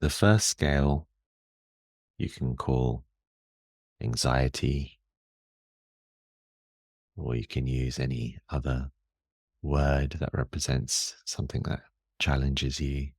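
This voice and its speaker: A man guiding a meditation in a calm, soothing and measured manner, leaving long pauses. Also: very deep voice, no echo, clean studio sound. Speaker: meditation guide. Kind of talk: guided meditation.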